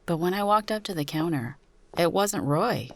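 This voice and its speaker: A woman's voice: lowish voice, animated, moderate pace. A woman narrating a suspense story in the first person, as a dramatic reading.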